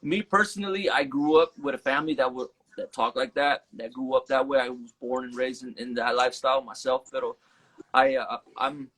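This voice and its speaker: A man's voice: mid-range voice, a little fast, fairly bright tone, low-quality recording. A man from Las Vegas, a guest rapper, talking casually in a live-stream interview and mixing Spanish words into laid-back street talk. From Las Vegas, USA. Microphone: phone on a live stream.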